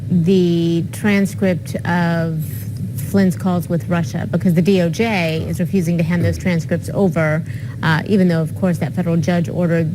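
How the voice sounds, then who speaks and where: A woman's voice: fairly low pitch, little pitch movement, normal speed, noisy background. An American woman anchoring a live TV news interview and putting questions to a guest.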